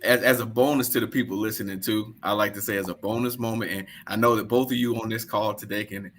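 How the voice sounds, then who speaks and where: A man from High Point, North Carolina, a panel guest talking in a laid-back, slangy way over a video call. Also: fairly even intonation, fast talker, fairly bright tone. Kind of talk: casual chat on a video call.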